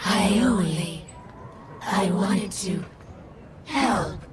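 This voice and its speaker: A woman's voice: mid-range voice, animated, drawn-out words. A woman voicing a haughty supernatural sorceress wrestler in a video game, speaking in a grandiose, solemn manner. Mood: hesitant. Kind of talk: video game cutscene acting. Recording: clearly noisy background ambience.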